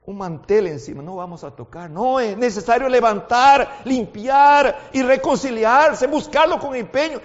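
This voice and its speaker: A man preaching a sermon in a language that is not his first one, as his native Portuguese slips in. He shifts between quiet, intimate talk and loud, fervent exhortation, and keeps asking his listeners questions. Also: extremely high pitch, in a large hall, muffled recording. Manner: asks the audience frequent questions.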